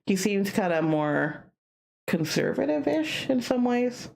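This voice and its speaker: A woman streamer with a playful, animated manner, reading a visual novel aloud with casual asides. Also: mid-range voice, takes it slowly, gravelly.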